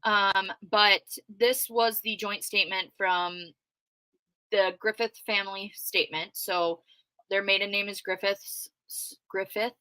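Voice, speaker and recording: A loud, outspoken woman talking through a livestream in a casual, conversational way and answering her chat as she goes. Her voice is bright and forceful, and it sits close and dry with no room sound around it. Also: mid-range voice, steady tone, a little slow, clear, resonant tone, no room sound, poor audio. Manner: conversational with frequent fillers. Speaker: true crime commentator reading live chat.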